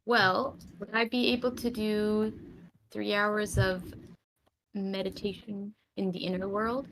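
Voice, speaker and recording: A woman speaks slowly and thoughtfully with a gravelly creak, as a player in a tabletop roleplaying game. Her mic is clearly noisy, with clicks. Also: medium pitch, little pitch movement, fairly bright tone. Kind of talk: casual in-game chat.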